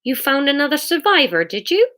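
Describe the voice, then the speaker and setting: A woman's voice: high voice, big pitch swings, fairly quick, bright, forceful tone, pitched above the performer's own voice, heavily acted. A woman voicing a prim, nosy, slightly sheepish elderly village lady in a storybook. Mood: bright, nosy.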